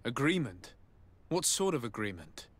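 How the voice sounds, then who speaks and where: An actor playing a poised, commanding noble diplomat in a video game, speaking formally and politely. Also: male voice, mid-range voice, very animated, normal speed, a bit bright. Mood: formal, inquiring. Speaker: noble envoy. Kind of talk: video game dialogue acting.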